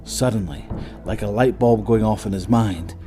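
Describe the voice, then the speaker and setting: Male narrator, lowish pitch, dramatic and suspenseful scripted horror storytelling, measured phrasing, music bed. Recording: voice over prominent music.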